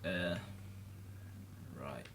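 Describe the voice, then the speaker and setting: A man with a fairly low voice commenting casually on a video game. He thinks aloud, hesitant and informal, with faint noise behind him. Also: very slow, a bit bright. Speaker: gamer narrating a playthrough. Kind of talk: casual let's play commentary.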